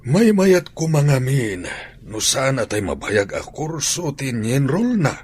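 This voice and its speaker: A man's voice: mid-range voice, big pitch swings, a little slow, a little mellow, slight background noise. A Filipino man with an Ilocano accent playing a concerned, chiding older father and grandfather in a radio drama.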